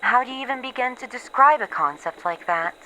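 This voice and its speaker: A woman playing a weary, haunted researcher who is losing her memories, in a halting, introspective horror audio roleplay monologue. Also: fairly high pitch, animated, pushed and bright, slight background noise, rough recording. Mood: pensive, unsettled. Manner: halting, introspective, pausing between short phrases.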